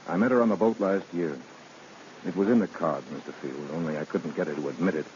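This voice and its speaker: An actor playing an earnest, determined rival in love in a vintage radio drama, speaking bluntly and insistently. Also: male voice, deep voice, brisk, a little mellow, natural voice. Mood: solemn, pleading. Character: earnest, determined man confronting his lover's husband. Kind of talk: 1930s radio drama acting. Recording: muffled, noisy old radio broadcast recording.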